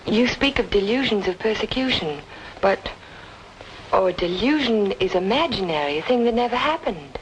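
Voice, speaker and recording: Casting: female performer for polite but uneasy young noir heroine, questioning everyone; vintage radio drama, clear background noise. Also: mid-range voice, lively intonation, moderate pace, lightly acted. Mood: worried, doubting. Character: polite, troubled young woman questioning those around her. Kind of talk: old-time radio noir drama acting. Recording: noisy old radio drama recording.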